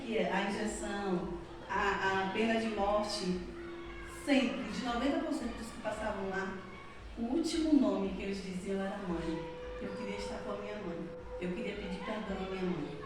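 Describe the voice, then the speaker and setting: A middle-aged woman from Salvador with a Bahian accent, giving an earnest spiritist lecture that leans on repetition and tag questions. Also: medium pitch. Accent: Bahian Brazilian Portuguese. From Salvador, Bahia, Brazil. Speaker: spiritist speaker. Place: spiritist center hall. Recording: echoey hall from far off, noisy.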